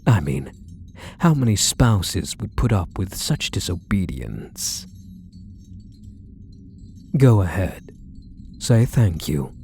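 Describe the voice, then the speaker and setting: Man acting a slow, swooping possessive yandere husband. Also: deep voice, big pitch swings, gravelly, a little hiss or hum, full character voice. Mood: patronising, controlling. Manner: soft, coaxing, condescending endearments, lingering phrases. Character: possessive, doting male yandere stalker husband, sweetly menacing. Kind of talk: intimate ASMR roleplay audio drama.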